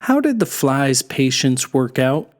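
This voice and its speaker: A storyteller giving an expressive scripted narration of a folktale for children. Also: mid-range voice, measured pace.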